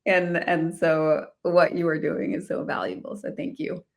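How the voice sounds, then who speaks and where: A woman's voice: on the low side, fairly even intonation, measured pace, vocal fry. A woman from the Washington, D.C. area, a guest in an interview telling her life story casually, laughing often and restarting her sentences. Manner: laughs often while talking, many restarts. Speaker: guest, real estate investor.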